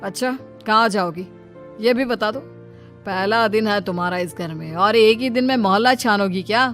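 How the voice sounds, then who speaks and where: A woman's voice: medium pitch, normal speed, a bit bright, faint music behind, close to an everyday voice. A woman voicing a practical thirty-year-old son in a cartoon family drama, explaining in a casual, mildly exasperated manner. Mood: dismissive, weary.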